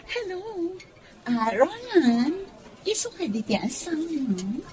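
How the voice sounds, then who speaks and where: Radio drama lady friend woman acting: sweet, slow-spoken, lively intonation, Filipino accent. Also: sits high, a crowd in the background, exaggerated voice. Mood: sweet, friendly.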